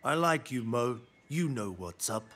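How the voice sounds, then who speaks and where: A man's voice: mid-range voice, expressive, unhurried, fairly bright tone. A man voicing a cool, self-important wild horse in a preschool cartoon. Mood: cool, mysterious. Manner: laid-back, self-important, theatrical. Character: cool, smug, self-styled wild stallion.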